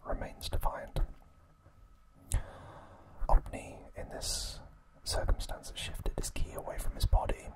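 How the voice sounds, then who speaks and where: A man hosting an ASMR recap, speaking in a soft, breathy, unvoiced whisper with a gentle delivery. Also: measured pace, long pauses, poor audio. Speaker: ASMR host.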